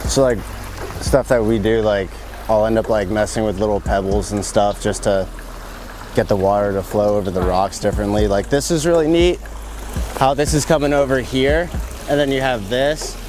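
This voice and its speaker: A man's voice: on the low side, normal speed, a little hiss or hum. Laid-back man at an outdoor build site. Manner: relaxed, filler-heavy, enthusiastic. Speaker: pond builder. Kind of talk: casual on-site walkthrough. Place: outdoor backyard construction site.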